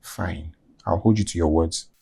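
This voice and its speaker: An actor playing a reluctant husband who grumbles drily about favors. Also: male voice, low voice, a little slow, vocal fry, mellow, husky, exaggerated voice. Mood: grudging.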